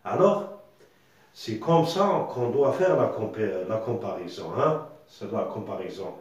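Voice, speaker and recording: A man whose first language is Arabic, teaching a slow, deliberate grammar lesson, repeating words and checking that the class follows. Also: fairly low pitch, lively intonation, highs cut off. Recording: echoey room, far from mic.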